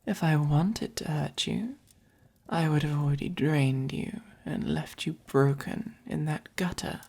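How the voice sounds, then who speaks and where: A woman voicing a gentle, possessive, doting vampire in a soft-spoken ASMR roleplay, with an English accent and breathy, whispery, coaxing delivery. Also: low-pitched, exaggerated voice. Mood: teasing, calm. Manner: slow, coaxing, gently commanding. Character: gentle, possessive, doting dominant vampire keeping a human pet.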